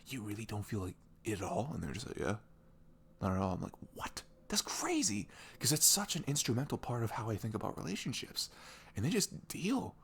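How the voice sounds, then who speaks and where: A man playing a sweet, caring young boyfriend in an intimate ASMR roleplay, chatting casually and rambling in a velvety low voice. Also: vocal fry, slight background noise. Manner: chuckling, informal, loosely rambling.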